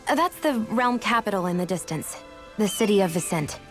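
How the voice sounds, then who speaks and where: A woman voicing a composed, poised adult warrior in a video game, speaking in a measured, formal manner. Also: mid-range voice, lively intonation, close to an everyday voice. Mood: cautioning. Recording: studio voice over game music.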